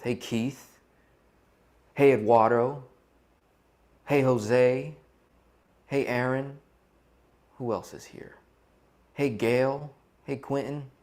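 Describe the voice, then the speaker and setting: A man co-hosting a casual, interactive coaching talk online, putting questions to his listeners and often checking in with them. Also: lowish voice. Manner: conversational, asks questions and checks in with "right?". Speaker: co-host leading an interactive online seminar. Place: live video call session.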